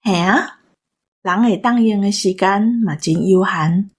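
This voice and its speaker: A woman with a Taiwanese accent chats in a reflective way. Her voice is medium in pitch with a noticeably husky, breathy grain. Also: unhurried.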